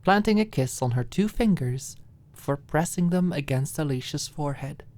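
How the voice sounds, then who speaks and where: A woman narrating a scripted audiobook in ASMR style, with soft phrases that drop into a hush. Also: deep voice, animated, moderate pace.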